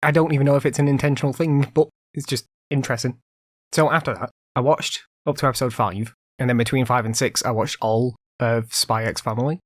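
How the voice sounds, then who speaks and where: A man's voice: mid-range voice, steady tone, brisk, no room sound, studio quality. A man hosting a casual solo podcast, rambling conversationally with lots of fillers, still recovering from an illness. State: recovering from illness, voice occasionally cutting out. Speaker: podcast host.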